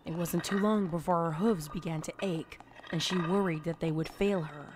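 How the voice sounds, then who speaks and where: A man's voice: on the high side, fairly even intonation, moderate pace. A man reading scripted, dramatic narration of a horror story as its narrator. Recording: clear background noise and ambience.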